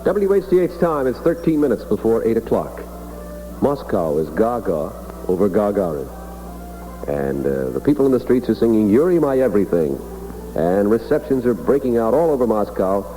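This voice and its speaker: A man's voice: lowish voice, sing-song, normal speed, dark tone, soft background music, rough recording. A man hosting a morning radio show in 1960s announcer style, reading time checks and ads. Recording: vintage 1960s radio broadcast.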